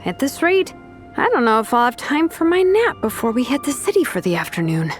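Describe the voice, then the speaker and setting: Laid-back, highish-voiced young woman. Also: very animated, a little fast, soft background music. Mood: breezy. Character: laid-back, nap-loving young woman, confident and breezy. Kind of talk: English anime-style video game dub.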